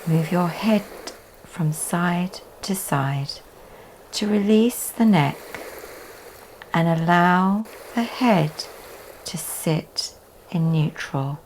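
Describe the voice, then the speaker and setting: Very slow, low-voiced woman guiding relaxation. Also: poor audio. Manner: soft, often breathy delivery with long pauses. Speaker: yoga teacher guiding a meditation. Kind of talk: slow guided relaxation meditation. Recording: faint background noise.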